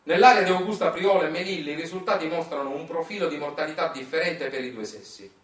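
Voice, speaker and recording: Man, Sicilian accent, higher-pitched voice, declamatory stage storytelling, echoey and distant. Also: fast talker. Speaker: stage monologist. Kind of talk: theatrical narrative monologue. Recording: echoey room, far from mic.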